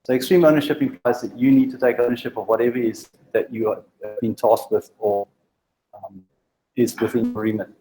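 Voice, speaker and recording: A man speaks to a meetup crowd in a mellow, warm tone. His delivery halts and restarts, with a stutter and plenty of ums. He urges his listeners plainly and directly. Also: mid-range voice, fairly even intonation, poor audio. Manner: halting, with frequent ums and restarts; direct, exhorting. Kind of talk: informal presentation to a live group.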